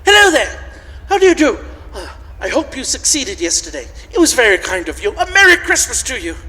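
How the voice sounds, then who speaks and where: A man playing an excitable, emphatic old gentleman, a reformed miser, in a live radio play, with stammered eagerness. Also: very high voice, a little fast, heavily acted. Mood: giddy, eager. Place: large church, live performance. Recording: echoey church hall, live stage.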